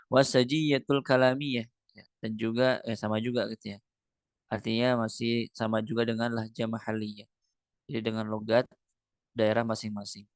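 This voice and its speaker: A man's voice: mid-range voice, vocal fry, no echo. A man teaching a Quran recitation class online, reading Arabic lines from a book and then explaining them, with many fillers and rhetorical questions.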